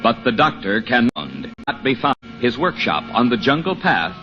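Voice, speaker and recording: A man's voice: mid-range voice, lively intonation, measured pace. A man announcing and narrating in scripted 1940s radio style, dramatic and emphatic. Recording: muffled old radio recording, music behind. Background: music.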